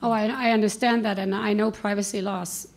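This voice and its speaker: A woman's voice: mid-range voice, fairly even intonation, a little fast. A woman from the United States making an earnest, insistent comment from the audience at a conference panel, speaking into a handheld microphone in a large hall. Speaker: audience member asking a question. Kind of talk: spontaneous comment from the floor.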